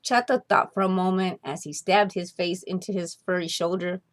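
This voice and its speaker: A woman narrating a children's storybook expressively, with deliberate, drawn-out emphasis on key words. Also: on the low side, steady tone. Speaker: storybook reader.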